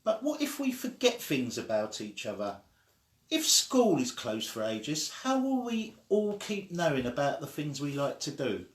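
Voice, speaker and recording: An eager, affectionate young schoolgirl from a storybook voiced by a man, pitched high with a singsong swing. The lines move up and down expressively. The voice sits in an echoey room. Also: huge pitch swings, measured pace. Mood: worried.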